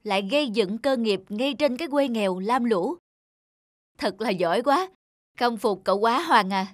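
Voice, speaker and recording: A woman's voice: on the high side, lively intonation, moderate pace, dry recording, studio quality, close to an everyday voice. A woman playing a jovial, effusive young man, an old friend, in a cartoon. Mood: admiring. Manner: effusive, chummy. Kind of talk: cartoon dub acting.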